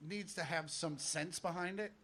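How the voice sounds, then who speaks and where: Dry, measured male comedian. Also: a little high, pushed and bright. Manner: dry, measured roast questioning. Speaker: panelist. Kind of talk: casual comedy banter.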